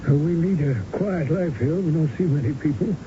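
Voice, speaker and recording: A genial, sardonic old man from a vintage radio drama, played by an actor, with a fairly high voice and a hesitant, pompous delivery full of throat-clearing. The recording is muffled, with clear background noise. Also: a little fast, lightly acted. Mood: genial, chuckling. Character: ailing old American art-collecting husband, genial yet sardonic. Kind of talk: 1970s radio drama acting.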